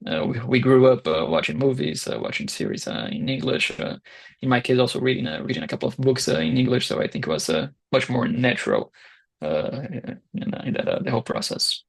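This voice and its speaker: A young man in his twenties from Brazil, a Portuguese speaker, answering interview questions in a hesitant way with many pauses and restarts. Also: medium pitch, sing-song, creaky, slightly rough. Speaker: guest founder.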